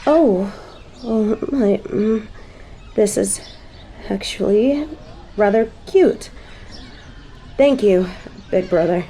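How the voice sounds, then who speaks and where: A woman's voice: mid-range voice, extremely slow, long pauses, mellow, slight background noise. A woman playing a prim, uptight, easily flustered angel little sister in an audio drama, speaking hesitantly. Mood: softened, hesitant. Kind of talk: audio roleplay drama acting.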